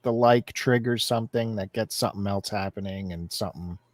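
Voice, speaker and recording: A man's voice: fairly low pitch, a little fast, no echo, clean studio sound. A man hosting a casual live-stream chat, upbeat and teasing what is coming up on the show. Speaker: host of a collectors' live chat.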